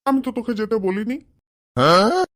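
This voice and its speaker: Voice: mid-range voice, lively intonation, brisk, very noisy, close to an everyday voice. A performer voicing a stubborn old father in a comedy cartoon, retorting defiantly. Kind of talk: exaggerated comic cartoon dialogue.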